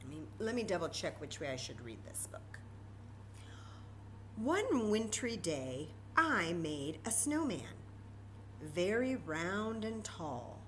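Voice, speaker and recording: A woman's voice: on the low side, very animated, slow pace, slight background noise, rough recording. An American woman hosting a children's storytime, speaking expressively and directly to young listeners, mixing read-aloud with chatty asides. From Texas, United States. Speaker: storytime reader and host.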